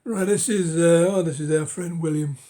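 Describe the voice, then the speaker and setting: A middle-aged man with a cold, speaking hoarsely and haltingly in a casual show-and-tell of his books, often dropping to a whisper. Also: a little high, warm tone. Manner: halting, many ums and uhs, drops to a whisper. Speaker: book collector vlogger.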